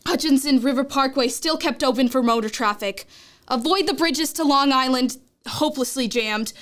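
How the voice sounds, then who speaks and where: A woman's voice: high voice, lightly acted. A young woman acting a doomed radio announcer reporting an invasion from a rooftop in an old radio drama.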